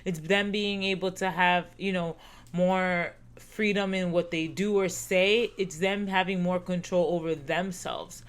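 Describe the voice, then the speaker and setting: A woman's voice: lowish voice, fairly even intonation, measured pace, clean tone. A woman in her thirties co-hosting a casual podcast, talking earnestly and reflectively, often repeating herself. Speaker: podcast co-host. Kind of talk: casual chat.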